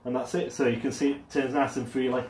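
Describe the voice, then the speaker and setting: A man's voice: mid-range voice, level tone, quick pace, darkish tone. A man casually demonstrating a product in his home garage, filming on his mobile phone and talking with frequent ums and uhs. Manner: hesitant, many ums and uhs. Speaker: product reviewer. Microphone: mobile phone camera. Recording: echoey garage.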